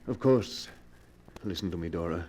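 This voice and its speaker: Guy acting smooth lovestruck rich hermit, old time radio drama, mellow, hissy clicky recording. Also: low voice, moderate pace, lightly acted. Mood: ardent. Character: rich, lovestruck recluse courting a woman, smooth and insistent.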